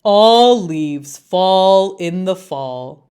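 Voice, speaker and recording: A woman voicing know-it-all, taunting leaves in a children's storybook, speaking loudly and drawing out the words. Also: on the low side, expressive, smooth. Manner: slow, loud, drawn-out taunting.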